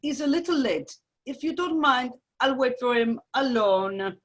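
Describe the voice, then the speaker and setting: A woman's voice: high voice, expressive, a little slow. A woman playing a stiff, impatient French ambassador with curt, formal manners in a radio play table read. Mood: strained politeness. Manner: formal, curt, strained politeness.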